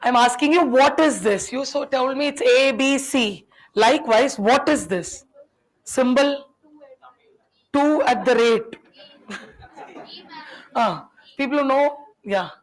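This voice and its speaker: A woman in a classroom who grew up speaking Tamil, giving a lecture to her students. Her voice sits a little high, and she keeps asking questions. She talks in a chatty, teasing way. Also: slow, long pauses, rough recording. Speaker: teacher.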